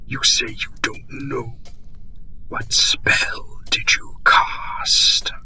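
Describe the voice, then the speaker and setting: Male actor as eldritch underworld captor: sharp, breathy whisper, hugely varied pitch, formal, soothing, possessive. Fantasy audio roleplay. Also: wildly swooping pitch, soft background music, full character voice. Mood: probing, commanding. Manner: formal, archaic wording, slow and soothing. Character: eldritch masked auditor of an underground city, calm, possessive captor.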